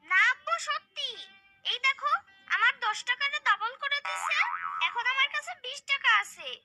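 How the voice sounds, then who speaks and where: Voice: extremely high pitch, lively intonation, moderate pace, loud music behind the voice. A performer voicing a curious, cheeky, credulous little boy in a cartoon, chirpy and inquisitive. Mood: excited, insistent.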